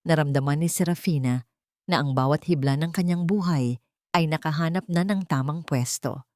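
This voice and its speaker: A woman with a Filipino accent reading a scripted love story in a measured, sentimental, dramatic narration style. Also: low voice, darkish tone, dry recording, clean studio sound. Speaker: narrator of Tagalog love stories.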